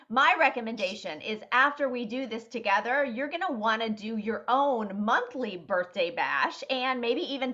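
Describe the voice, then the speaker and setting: A woman's voice: a little high, pushed and bright, muffled recording. A woman leading a sales team meeting, explaining an event plan in an upbeat coaching manner.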